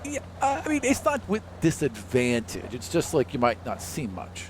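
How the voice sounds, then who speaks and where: A man runs a tabletop game as its game master, in a mid-pitched voice. He narrates off the cuff in a conversational style, with clear noise behind him. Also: wildly swooping pitch, normal speed.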